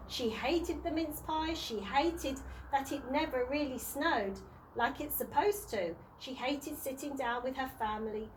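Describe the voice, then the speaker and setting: A woman reading a children's storybook aloud in a deliberate way, sometimes stumbling and rereading words. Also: sits high, a little hiss or hum, poor audio. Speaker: early years practitioner reading to children.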